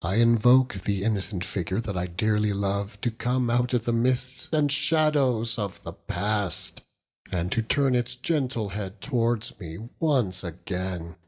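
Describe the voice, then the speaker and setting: A man narrating a classic novel as an audiobook, in an expressive, dramatic storytelling manner. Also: medium pitch.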